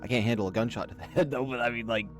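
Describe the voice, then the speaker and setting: A man's voice: medium pitch, fairly even intonation, rapid-fire, faint music behind. A man hosting a visual novel read-along, talking casually and rambling, often cutting into his own thoughts. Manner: rambling, self-interrupting. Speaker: host reading a visual novel aloud. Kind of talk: casual gameplay commentary and narration.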